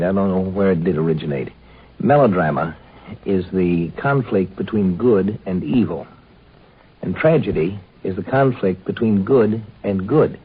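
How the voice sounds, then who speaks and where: A man's voice: deep voice, husky. A man with an American accent hosting a 1950s radio drama series with reflective commentary. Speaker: host and narrator. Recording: tinny 1950s radio, faint noise.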